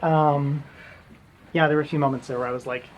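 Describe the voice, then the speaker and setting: Halting man in casual chat. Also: on the high side, fairly even intonation, lo-fi sound.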